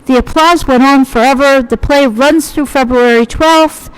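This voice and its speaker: A woman's voice: high-pitched, normal speed, low-quality recording. A woman interviewer talking casually and bluntly, keeping things moving.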